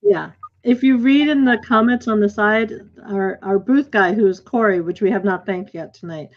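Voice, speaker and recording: A woman with a mid-pitched voice hosts a live online session in a casual, informal way. Her delivery halts a little as she goes, and the video call sound is dull and lo-fi. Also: normal speed. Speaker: host of a virtual theater question-and-answer session. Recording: muffled, lo-fi video call audio.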